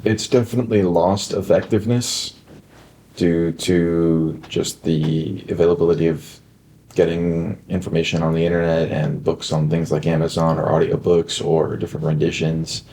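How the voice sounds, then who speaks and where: A student in his twenties answering interview questions deliberately, with wordy phrasing. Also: sits low, level tone, mellow. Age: 20s. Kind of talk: casual opinion interview.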